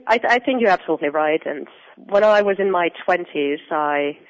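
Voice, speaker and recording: A woman's voice: on the low side, animated. Austrian woman on a tinny phone line. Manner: earnest, explanatory, measured. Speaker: researcher and guest. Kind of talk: interview answers.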